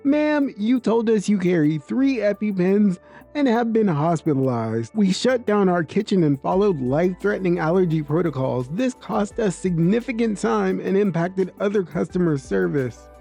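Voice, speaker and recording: A storyteller voicing a polite, firm male restaurant manager who speaks calmly and formally. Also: medium pitch, gravelly, soft background music. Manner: calm, formal, measured.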